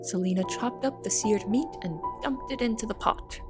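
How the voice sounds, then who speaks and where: A woman's voice: a little high, normal speed, over music, lightly acted. A woman playing an anxious young cook in an audio drama, narrating and speaking with confessional, dramatic intensity. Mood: haunted, uneasy. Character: anxious young female cook in a big house. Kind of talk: audio drama first-person narration and dialogue.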